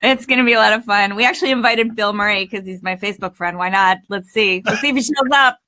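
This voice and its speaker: A woman's voice: medium pitch, fast talker, sharp tone. A woman voice coach hosting a chatty live interview. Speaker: host and voice coach.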